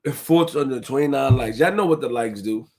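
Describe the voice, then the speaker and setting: A man hosting a casual livestream, shouting out viewers, reading donations and repeating phrases to his chat. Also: mid-range voice, moderate pace. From Haitian family roots. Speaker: livestream host.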